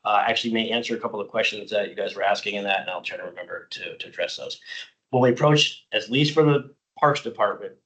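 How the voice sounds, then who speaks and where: A middle-aged man, slightly hoarse, presenting a parks budget plan at a public commission meeting, explaining informally with many "uh" fillers. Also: on the low side, fast talker, fairly bright tone, rough recording. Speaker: county parks department staff presenter.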